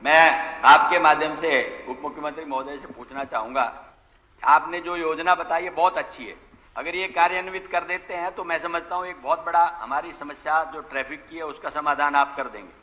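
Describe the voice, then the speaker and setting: A man's voice: on the high side, steady tone, a little fast, pushed and bright. A man making a formal, insistent complaint as a legislator addressing an assembly. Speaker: opposition legislator questioning a minister. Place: large legislative assembly hall. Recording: long hall reverb of assembly chamber.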